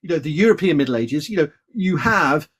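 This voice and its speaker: A middle-aged British man hosts a relaxed live chat, his voice sitting a little high for a man. He talks in a chatty, hedging way, piling up fillers and restarts as he works toward a point. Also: fairly quick, husky. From Britain. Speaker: host. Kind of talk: casual live-stream conversation.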